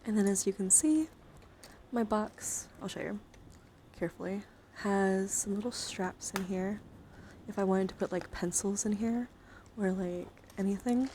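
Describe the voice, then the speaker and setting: Over clear ambient noise, a woman streams a casual ASMR chat to her viewers. Her voice sits at an average pitch. She talks in a halting, rambling way, as if thinking aloud. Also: warm tone. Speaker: livestreamer showing a dice collection.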